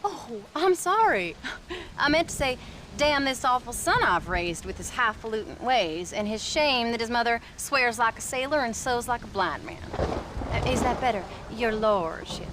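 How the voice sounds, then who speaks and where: A sharp-tongued frontier mother, played by a woman, with a high voice. She talks with dry sarcasm. Noise is clear behind her. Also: lively intonation, moderate pace, lightly acted. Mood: sarcastic. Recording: game cutscene with noise behind.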